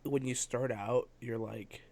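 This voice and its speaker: A young man in his twenties with a medium-pitched voice. He chats casually in a group, with halting phrasing and easy swearing. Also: steady tone, a little mellow, no echo.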